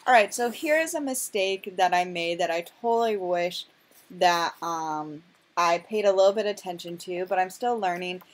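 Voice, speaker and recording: Chatty woman with a low voice. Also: normal speed. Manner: chatty, self-deprecating, rambling with fillers. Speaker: vlogger. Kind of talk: casual cooking voice-over.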